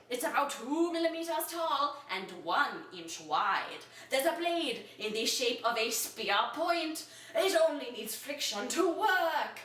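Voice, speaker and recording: A woman reads a story aloud in a mock-dramatic, theatrical way, moving between hushed gravity and excited emphasis. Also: high-pitched. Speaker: reader of an amateur story. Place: echoey room, away from the mic. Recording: roomy echo, distant from microphone.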